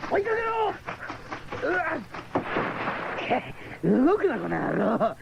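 A coarse criminal bully in an old radio play. His voice is high, creaky and very animated, on a muffled recording. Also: slow pace, heavy background noise, heavily acted. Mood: angry, barking. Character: rough, sneering male thug and slave trader. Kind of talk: 1970s radio drama acting.

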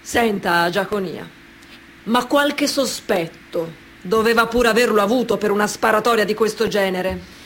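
An actor playing a formal, insistent courtroom examiner in a radio play, putting probing questions. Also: male voice, sits high, measured pace, a bit bright. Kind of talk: theatrical radio dialogue. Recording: faint background noise and ambience.

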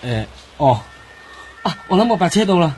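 Flustered, high-pitched Hong Kong policeman. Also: very animated, normal speed, darkish tone. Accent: Hong Kong Cantonese. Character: flustered male police officer at a crime scene. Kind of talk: radio drama acting. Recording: radio drama with background noise.

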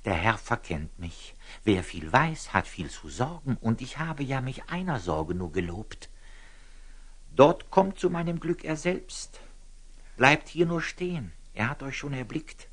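An actor playing a pious, simple-hearted friar in a classical radio drama, with a deferential, earnest and artless manner. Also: male voice, medium pitch, very animated, a little slow, fairly bright tone. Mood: anxious, deferential. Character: humble, pious, simple-hearted lay friar with sly candour.

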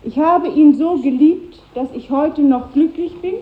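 An echoey, phone-like vintage recording frames a high-voiced woman teacher. She is didactic and strict, yet wistful, in 1950s radio drama style. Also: measured pace.

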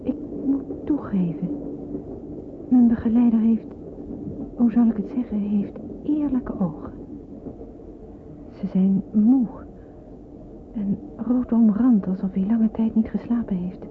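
A woman's voice: a little high, slow, long pauses. Anxious young woman, tinny noisy recording. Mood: anxious, brooding. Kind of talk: radio play acting with hushed inner monologue. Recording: tinny noisy old radio play recording.

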